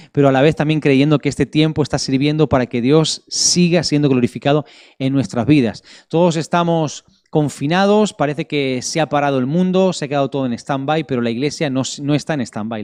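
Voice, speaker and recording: A middle-aged man from Barcelona with a Spanish accent from Spain, preaching as a pastor to an online congregation in an earnest, measured way with pauses for emphasis. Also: mid-range voice, darkish tone. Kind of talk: online sermon with prayer and scripture reading.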